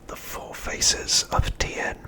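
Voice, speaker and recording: A man narrating an episode recap as an ASMR host in a hushed, breathy, unvoiced whisper, with deliberate delivery. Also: low-quality recording.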